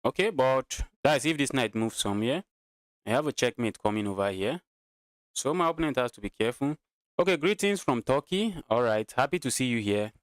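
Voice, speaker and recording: A Nigerian man with a mid-pitched voice streams casual game commentary. He thinks aloud and often repeats his phrases as he talks to viewers. The sound is dry, with no room echo. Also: expressive, a little fast. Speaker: chess streamer.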